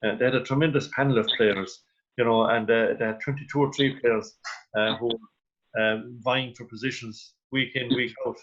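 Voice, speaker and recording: A man with an Irish accent speaking in halting, deliberate phrases as a guest pundit in a radio sports discussion. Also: medium pitch, steady tone, fairly bright tone. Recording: dull, band-limited radio call.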